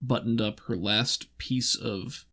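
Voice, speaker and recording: A man with a gravelly voice, running a tabletop roleplaying game as game master, telling the story and explaining in a deliberate way. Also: on the low side, steady tone, sharp tone. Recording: voice over background music.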